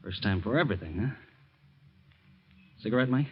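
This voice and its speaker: An actor playing an earnest ex-convict and caring uncle in a 1940s radio drama. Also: male voice, fairly low pitch, normal speed, husky. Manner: earnest, expressive, tender then firm. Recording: muffled 1940s radio recording.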